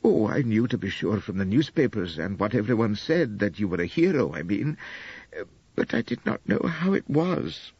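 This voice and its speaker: A man voicing a sweet, silly, adoring young British wife, gushing artlessly in a breathy audiobook performance. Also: lowish voice, very animated, moderate pace, darkish tone, no room sound, lo-fi sound, full character voice. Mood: admiring, solemn. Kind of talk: audiobook character acting.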